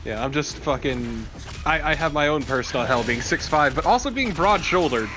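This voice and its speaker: A man's voice: on the high side, lively intonation, normal speed. A man co-commentating on a game, wisecracking and swearing in casual banter. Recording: faint background noise. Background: game sound.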